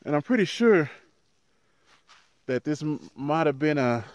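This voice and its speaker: A man in his thirties, a truck driver, chatting casually to his viewers in a handheld vlog, outdoors by a highway with the phone at arm's length. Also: sing-song, a little slow, darkish tone, no echo. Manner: chatty, talks to viewers as "y'all", laughs easily. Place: outdoors in an empty desert town by a highway. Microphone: phone held at arm's length.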